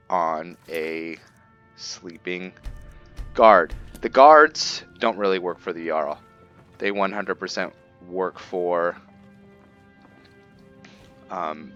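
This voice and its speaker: A man with a very deep voice talks slowly through his roleplay game, halting as he thinks aloud. The sound is slightly muffled, with game music behind him. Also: a bit bright. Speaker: gaming video host. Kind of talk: casual roleplay commentary over gameplay.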